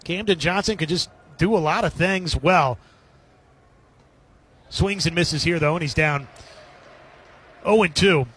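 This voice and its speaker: Male sports radio commentator, high voice, live baseball play-by-play in short clipped phrases. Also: unhurried, pauses often, fairly bright tone, husky.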